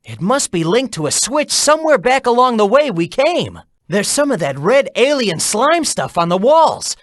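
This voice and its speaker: An actor voicing a cocky, upbeat teenage hedgehog hero in a video game, cheeky and encouraging. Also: male voice, very high voice, moderate pace, dry recording, studio quality. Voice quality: youthful, bright, energetic. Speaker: video game character voice clips. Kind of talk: game voice acting, mission hints. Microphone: dry studio mic.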